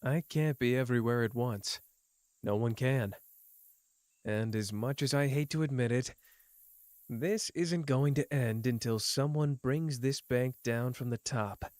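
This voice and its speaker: A man's voice: mid-range voice, unhurried, no echo, clean studio sound, lightly acted. A man voicing a teasing, world-weary young man. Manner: soft, teasing, drifting between playful and bleak. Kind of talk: ASMR roleplay.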